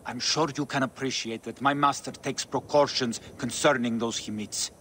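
An actor playing a polite, discreet male servant in a video game, speaking in a formal, measured way. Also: mid-range voice, steady tone, sharp tone, slight background noise, natural voice. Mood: guarded.

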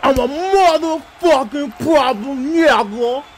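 A man's voice: very high voice, slow, slight background noise. A man doing comic sports voiceover full of profane, exclamatory trash talk. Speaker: comedy voiceover creator.

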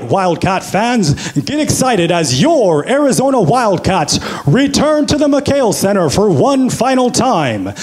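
A young American man announces like a basketball arena host into a handheld mic. His voice sits high and swings dramatically up and down. He takes his time, stretching out names in booming showman fashion. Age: 20s. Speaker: public address announcer auditioning. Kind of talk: arena sports announcing from a script. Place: small indoor room. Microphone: handheld microphone.

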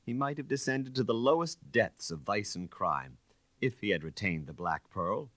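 A man voicing a suave, self-satisfied gentleman thief in an audiobook, speaking in a proud, grandiloquent manner. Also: fairly low pitch, animated, normal speed, no room sound. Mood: emphatic. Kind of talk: audiobook character reading.

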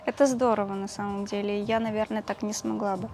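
A young woman from Russia, a doctor appearing as a guest, telling stories in a relaxed, chatty way and laughing often while recorded on a lapel mic in a small studio. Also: mid-range voice, fairly quick, faint music behind. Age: young adult. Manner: chatty storytelling, frequent laughter. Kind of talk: casual interview chat. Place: small studio with neon lights.

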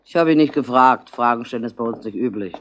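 An actress playing a boozy, sentimental, middle-aged barmaid in a radio crime drama, drawling and maudlin. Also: female voice, very deep voice, fast talker. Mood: weary, wistful. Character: boozy, sentimental ageing barmaid, lonely and chatty. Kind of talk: 1960s German radio crime drama acting.

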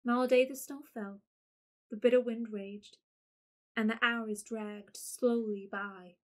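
A woman's voice: a little high, fairly even intonation. Woman narrating a period story. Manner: measured storybook reading. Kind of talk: scripted narration.

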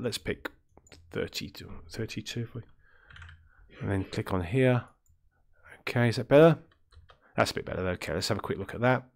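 A man with a deep, throaty voice from illness, talking through a coding tutorial in a rambling way, with many ums and self-corrections. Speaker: game development tutorial host. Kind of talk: screen-recorded coding tutorial.

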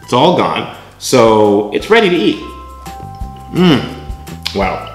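A chatty middle-aged man talks to a camera across his home kitchen counter, with a lively, up-and-down voice. The room sounds echoey, and faint music plays underneath. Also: mid-range voice, slow. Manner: chatty, often tags lines with "right?"; demonstrates food while talking to camera. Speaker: vlog host. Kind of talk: casual kitchen vlog.

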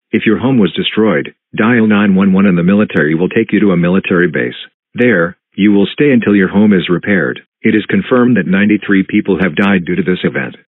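A synthetic, robotic man's voice reads a scripted emergency alert as an announcer, in a formal, flat, mechanical manner. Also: low-pitched, no echo. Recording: muffled lo-fi TV alert broadcast.

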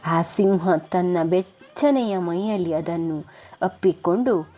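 A woman's voice: on the low side, slow, dark tone. A woman narrating a moral story for children as a storyteller.

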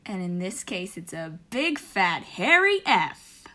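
A woman's voice: on the low side, very animated, slow pace, sharp tone, full character voice. A woman voicing a brash, dramatic, bickering schoolgirl in an amateur comic fandub. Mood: smug.

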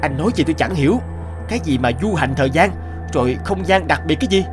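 A man voicing a frustrated, neglectful middle-aged husband in an animated moral tale, with an expressive, theatrical delivery. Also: fairly high pitch, fast, music in the background, lightly acted. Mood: grumbling.

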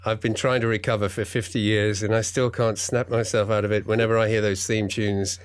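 A man's voice: fairly low pitch, little pitch movement, fairly quick, clear, resonant tone. A man, chatting wryly and self-deprecatingly as a podcast guest. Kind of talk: relaxed interview chat.

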